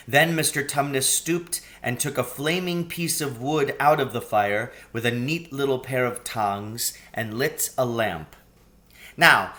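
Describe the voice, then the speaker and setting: A man narrates a storybook at an unhurried pace. His intonation is animated and varied as he reads the scripted prose. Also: mid-range voice. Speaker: storybook reader.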